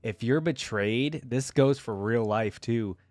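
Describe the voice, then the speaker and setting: A man's voice: medium pitch, slow pace, darkish tone, no room sound. A man giving casual, off-the-cuff reaction commentary, musing aloud with murmured interjections. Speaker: reaction video host.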